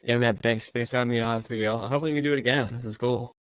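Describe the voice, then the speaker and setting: A man's voice: mid-range voice, steady tone, rapid-fire, no echo. Guy with a southern midwest mix accent, chill, tells stories, dry close mic. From Virginia. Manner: easygoing, laughs and tells anecdotes. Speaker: guest, race car driver. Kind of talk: casual interview chat.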